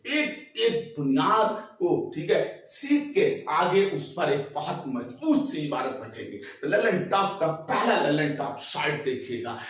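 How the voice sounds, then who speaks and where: A man's voice: fairly high pitch, sing-song, a little slow, hardly any pauses, a bit bright. A man teaching a maths lesson, explaining emphatically with repetition and rhetorical questions. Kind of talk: spoken classroom lesson. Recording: very echoey room, distant mic.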